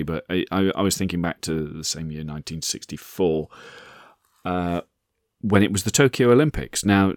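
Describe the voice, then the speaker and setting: A hoarse man in his sixties, hosting a podcast and telling a rambling personal story with many repeated words. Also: low-pitched, dry recording, studio quality. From England. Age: 60s. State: hoarse, croaky voice. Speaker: podcast host. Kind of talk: casual anecdotal monologue.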